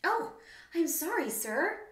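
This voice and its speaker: Woman voicing a squeaky, eager little wallaby girl. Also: big pitch swings, takes it slowly, recorded from a distance, exaggerated voice. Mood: eager, curious. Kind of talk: children's storybook read-aloud. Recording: roomy, echoing indoor room.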